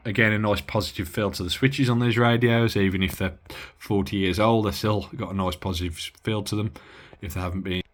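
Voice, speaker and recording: A man giving a casual, rambling bench-test walkthrough of an old radio, often pausing and correcting himself. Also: lowish voice, fairly even intonation. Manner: rambling, self-correcting, lots of filler. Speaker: radio hobbyist reviewing gear.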